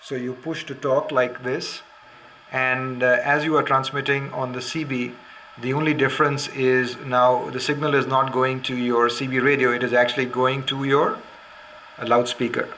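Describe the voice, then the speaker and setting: A man's voice: mid-range voice, level tone, moderate pace, sharp tone, slight background noise. A man presenting a product in an instructional demonstration, explaining methodically step by step.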